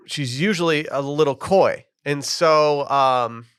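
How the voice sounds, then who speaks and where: Casual male podcast host, bright voice, dry close mic, rambly delivery. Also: on the high side, slow, clean tone, no room sound. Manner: loose, rambling, lots of fillers. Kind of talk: casual podcast chat with ad reads.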